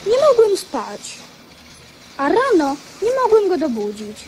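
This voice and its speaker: Gentle boy king, very high-pitched, slow, earnest, radio drama. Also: big pitch swings, a little hiss or hum, rough recording. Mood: weary, plaintive.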